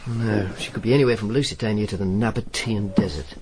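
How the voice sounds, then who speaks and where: An actor playing a wry, jealous, stubborn Roman informer who narrates his own story in a radio drama, deadpan and sardonic. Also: on the low side, fast talker, slight background noise, lightly acted. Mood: stubborn, sulky. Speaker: first-person narrator and lead character.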